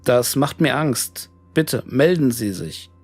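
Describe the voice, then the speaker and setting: Gravelly-voiced male horror narrator. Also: mid-range voice, lively intonation, slow pace, a little mellow. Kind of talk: scripted narration of an email horror story. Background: music.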